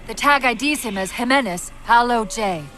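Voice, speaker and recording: An actress playing an alert female AI companion in a video game, giving brisk, precise tactical reports. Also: on the high side, sharp tone, a little hiss or hum. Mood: focused, tactical.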